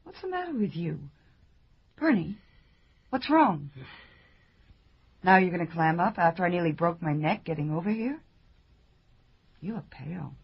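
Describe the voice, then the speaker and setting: A woman playing a wry newspaper columnist in a radio drama, husky, gently probing and concerned for her friend. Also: fairly low pitch, very animated, normal speed, pauses often, lo-fi sound, full character voice. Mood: concerned, teasing. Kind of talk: naturalistic radio drama acting.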